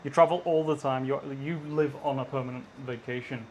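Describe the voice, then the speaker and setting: A man's voice: medium pitch, steady tone, a little fast, lo-fi sound, slight background noise. A man interviewing a guest, steadily posing and framing questions.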